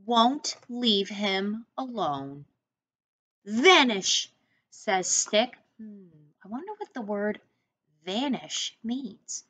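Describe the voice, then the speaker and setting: A middle-aged American woman reading a picture book to young children as their teacher, expressively, with deliberate instructing asides. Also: medium pitch, animated, sharp tone, slightly rough. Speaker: first-grade teacher. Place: small room indoors, seated at a desk.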